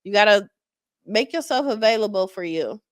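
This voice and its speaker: A woman in her forties hosts a casual podcast chat, encouraging and warm in her words, often restarting her sentences. Her voice sits at an ordinary middle pitch. The sound is close and dry, with no room around it. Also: lively intonation, no room sound. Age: 40s. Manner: encouraging, talks in quick bursts with repeated starts. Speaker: podcast host.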